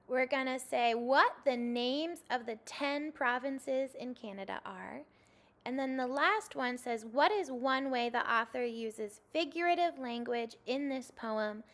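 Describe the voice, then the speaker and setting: A woman's voice: a little high, a bit bright. A young woman teaching second graders a recorded reading lesson, giving patient step-by-step instructions.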